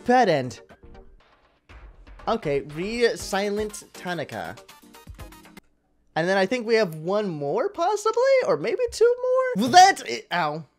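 Man in his 20s, gamer, casual commentary, lively pitch swings, close mic, game music behind. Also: slow pace, long pauses. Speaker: gaming YouTuber. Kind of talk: casual let's-play commentary. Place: small home room indoors. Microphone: close boom-arm studio microphone with headset.

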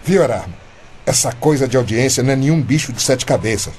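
An actor playing a chatty, trusting Brazilian builder in a radio soap, eager, friendly and colloquial. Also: male voice, mid-range voice, fast talker, a little mellow, slight background noise, lightly acted. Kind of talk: radio soap opera acting.

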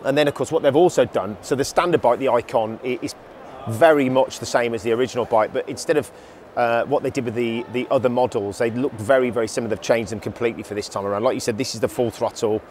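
A man's voice: medium pitch, fast, few pauses, darkish tone, slight background noise. A man in his thirties, presenting casually and enthusiastically with many restarts, standing in an exhibition hall. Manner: chatty, enthusiastic, frequent restarts. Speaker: motorcycle show presenter. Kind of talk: casual review chat. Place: indoor motorcycle exhibition hall.

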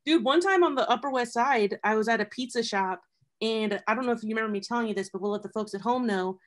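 A man with a high voice hosting a casual interview, chatty and eager with questions. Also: quick pace, fairly bright tone. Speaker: host.

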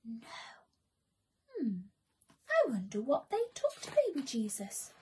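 High, animated young woman storyteller. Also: slow pace, slight background noise. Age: young adult. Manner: theatrical, breathy asides to a child audience. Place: small room at home.

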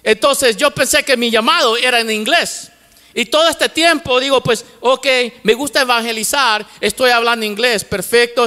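A middle-aged man preaching with a strikingly high, bright voice into a handheld mic. His words ring out with a long reverb across a large church auditorium. He repeats key phrases with fervor and drops in English words as a native English speaker. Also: clear, resonant tone. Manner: fervent preaching with repetition, mixing in English words. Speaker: pastor. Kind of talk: sermon. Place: large church stage with lights. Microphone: handheld microphone.

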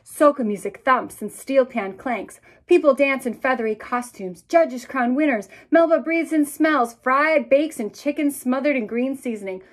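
A woman's voice: sits high, normal speed, hardly any pauses. A woman in her thirties, a children's author, reading picture books aloud expressively and speaking directly to young listeners. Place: small indoor room with bookshelves.

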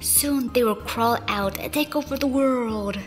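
A woman's voice: on the high side, a little slow, in a large hall, over music, close to an everyday voice. A woman voicing a restless, plucky young female slime rancher in a fan radio play, casual and direct. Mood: teasing.

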